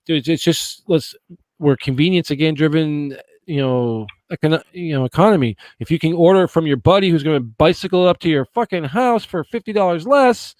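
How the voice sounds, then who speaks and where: A man's voice: fairly high pitch, expressive, brisk, no echo. A man in his forties from the Boston area, chatting casually as a podcast guest, rambling and swearing, often asking "you know what I mean?". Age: 40s. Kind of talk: casual chat.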